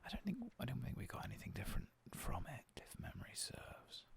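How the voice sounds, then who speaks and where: A man with a hoarse, coarse voice, talking softly and half-whispering as he plays a game in a relaxed, rambling way. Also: mid-range voice, a little fast. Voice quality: coarse, gravelly voice. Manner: soft, half-whispered, rambling and self-deprecating. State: hoarse after a long work shift. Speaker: gaming ASMR host. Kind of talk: soft-spoken ASMR gameplay commentary.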